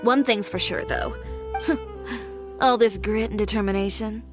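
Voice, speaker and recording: A woman's voice: medium pitch, fairly even intonation, unhurried, natural voice. Self-assured, blunt young woman designer played by an actress, music behind. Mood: composed. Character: proud, determined young woman fashion designer from a foreign land. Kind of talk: scripted video game voice acting. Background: game music.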